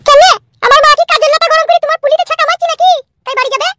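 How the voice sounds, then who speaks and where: A woman voicing an indignant, quarrelsome wife in comic cartoon dialogue, shrill and scolding. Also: steady tone, very fast, pushed and bright. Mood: irritated. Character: indignant, quarrelsome young wife defending her mother.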